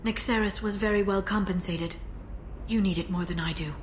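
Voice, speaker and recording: An actress playing a composed, determined female information broker in a sci-fi video game, measured and earnest. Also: medium pitch, fairly even intonation, close to an everyday voice. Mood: quietly resolute. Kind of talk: scripted video game dialogue. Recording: noisy ambient background.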